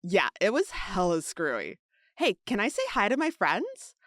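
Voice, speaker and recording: Brief: woman voicing a slangy young late-shift worker, rattled, lively pitch swings, dry close mic. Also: normal speed, dry recording. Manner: slangy, chatty, pitch jumps high. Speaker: witness who found a body. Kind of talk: audio drama acting.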